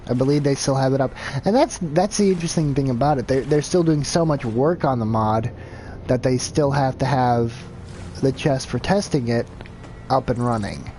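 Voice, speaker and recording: A man's voice: mid-range voice, moderate pace, warm tone, a little hiss or hum. A man streaming a video game live, chatting casually and thinking aloud in a rambling, self-deprecating way. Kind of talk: casual gameplay commentary.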